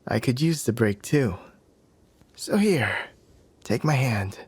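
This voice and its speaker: Casting: male actor for smug, playful young fighter, mid-pitched, unhurried, teasing the listener in ASMR roleplay. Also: no echo, heavily acted. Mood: warm, admiring. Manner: teasing, smug, breathy sighs and chuckles.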